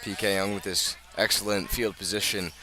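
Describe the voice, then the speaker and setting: Male American sports announcer, lower-pitched but very bright and forceful, live radio football play-by-play, outdoors at the stadium. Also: fairly even intonation. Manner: measured scene-setting, rising to urgent calls.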